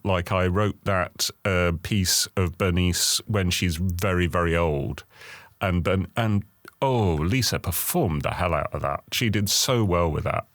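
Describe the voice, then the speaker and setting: A man speaking reflectively as a writer in a documentary interview, halting and repeating words as he goes. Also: low-pitched, no room sound, studio quality. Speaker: writer, creator of the character.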